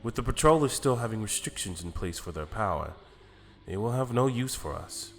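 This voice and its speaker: A man playing a calm, calculating celestial attendant who speaks in a measured, formal, deliberate way in an anime fan drama. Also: on the low side, creaky, long reverb tail, a little hiss or hum. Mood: advising.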